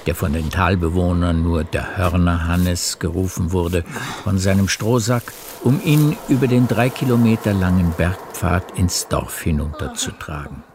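A man narrating a literary radio play in a measured, composed manner. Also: deep voice, noisy background. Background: faint music.

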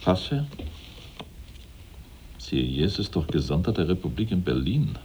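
Imperious revolutionary director, very husky, swooping theatrical delivery, vain and ruthless, radio play. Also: male voice, deep voice, sing-song, a little slow, a little mellow, exaggerated voice. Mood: wary, doubtful. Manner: pompous, theatrical. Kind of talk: German radio drama acting.

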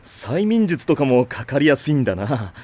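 An actor playing a steady, wry teenage boy team captain who makes dry, amused remarks in an anime drama CD. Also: mid-range voice, normal speed, a little hiss or hum, natural voice.

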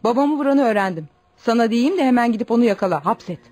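An actress playing a bold, determined young village woman in a radio play, insistent and sharp-tongued. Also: fairly high pitch, animated, fast. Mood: urgent. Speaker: actor. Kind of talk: radio theater acting.

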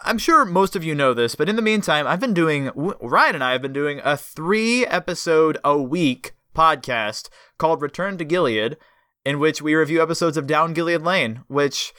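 A young man hosting a casual podcast, chatty and enthusiastic with a rambling flow. His voice is bright and crisp. The sound is dry and close. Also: fairly high pitch, expressive, moderate pace, dry recording. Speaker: podcast host.

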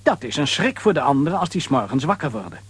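A man with a husky voice narrating a children's audio adventure as a storyteller. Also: on the high side, big pitch swings, fast, no room sound, full character voice.

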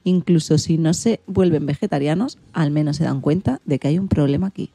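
A woman's voice: deep voice, a little fast, vocal fry, mellow, breathy edge, no echo. A woman hosting a radio show, presenting from a prepared script. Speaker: host.

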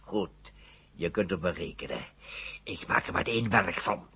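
An actor playing an absent-minded, excitable older male professor in a 1970 radio drama, muttering and dithering in a husky, creaky voice. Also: sits low, unhurried, exaggerated voice. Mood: delighted. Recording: dull, noisy old radio recording.

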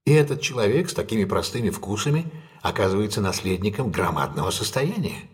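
An actor playing a composed, decisive gentleman detective in an audio drama, speaking with measured, courteous authority. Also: lowish voice, animated, close to an everyday voice. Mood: probing, serious.

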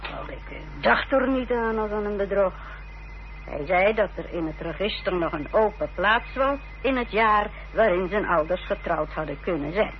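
A woman's voice: medium pitch, expressive, normal speed, lightly acted. An actress playing an unrepentant village wife in a Dutch radio play, telling her story in a self-justifying way. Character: unrepentant, defiant village wife confessing her part in a fraud. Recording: dull old radio recording with hiss and hum.

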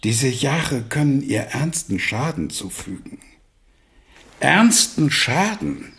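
An actor in a German radio drama playing a stout, blunt old father who urges deliberately, with pointed pauses. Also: mid-range voice, sing-song, fairly bright tone, full character voice. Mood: insistent, reproachful. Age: older.

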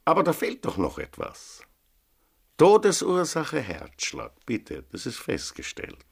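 Radio drama: officious Austrian village police sergeant, gravelly edge, lively inflection, curt interrogator who keeps probing. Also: male voice, on the high side, a little slow, exaggerated voice. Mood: insistent. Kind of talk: German-language radio drama acting.

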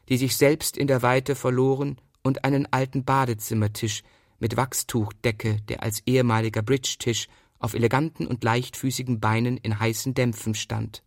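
Male prose reader, average pitch, precise and measured phrasing, literary narration, dry studio-like sound. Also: dry recording. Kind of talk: literary reading, scripted narration.

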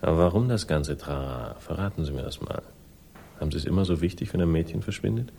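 An actor playing a world-weary male sleuth in a German radio crime drama, speaking with dry, laconic irony and a gravelly voice. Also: extremely low pitch, lively intonation, fast, dark tone, a little hiss or hum, heavily acted. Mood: needling, skeptical. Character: sardonic, world-weary male crime sleuth telling his own story. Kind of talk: 1960s German radio crime drama, first-person narration and dialogue.

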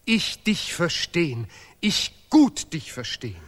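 A man's voice: on the high side, very animated, a bit bright, exaggerated voice. A man playing a self-important laureate professor in a radio play, declaiming obsessively repeated broken German. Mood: solemn, exalted. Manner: declamatory, obsessively repetitive, deliberately ungrammatical.

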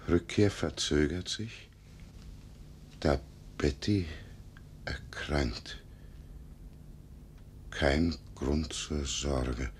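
An actor in a German radio drama playing a frail English gentleman, speaking in a halting, weighty manner. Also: extremely low pitch, expressive, a little hiss or hum, exaggerated voice. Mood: weary, grave.

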